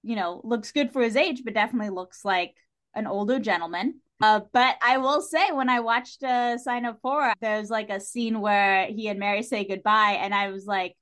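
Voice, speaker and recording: A woman speaking as a podcast guest in casual, animated chat, giving her views informally and with emphasis. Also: mid-range voice, normal speed. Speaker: podcast guest discussing a TV series.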